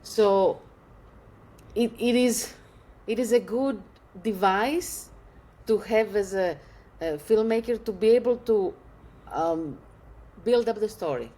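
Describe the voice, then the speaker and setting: A woman's voice: medium pitch, slow pace, pauses often, mellow, poor audio. A woman from Greece, an English speaker, answering interview questions thoughtfully and searching for words. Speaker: filmmaker guest.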